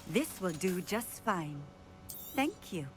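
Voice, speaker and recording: Courteous shopkeeper. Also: female voice, fairly low pitch, very animated, slow pace, slight background noise. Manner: polite, welcoming sales talk. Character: courteous adult female shopkeeper.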